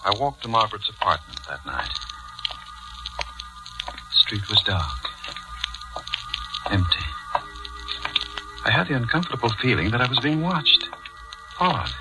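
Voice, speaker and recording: A man's voice: mid-range voice, very animated, a little slow, long pauses, poor audio. A man acting in a 1940s radio drama as a guilt-ridden, haunted judge, speaking with intense, dramatic delivery on an old recording with music. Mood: brooding, tense. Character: guilty, haunted judge narrating his crime, increasingly panicked.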